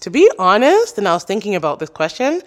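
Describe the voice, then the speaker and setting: A woman's voice: medium pitch, huge pitch swings, fast. Chatty woman podcaster, filler-heavy. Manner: chatty, many "like" and "you know" fillers. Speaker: podcast host, interview guest. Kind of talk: casual interview chat.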